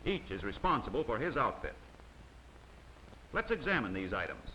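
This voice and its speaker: A man's voice: medium pitch, very animated, normal speed, bright, forceful tone, rough recording. Formal instructive male film narrator. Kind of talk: scripted documentary narration. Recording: faint hiss of old 16mm optical soundtrack.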